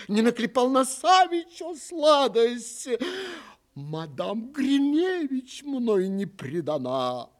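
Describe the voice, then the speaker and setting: A man's voice: high voice, fairly bright tone, pitched above the performer's own voice, heavily acted. A man voicing a naive, timid civil servant, earnest and agitated, in theatrical verse recitation.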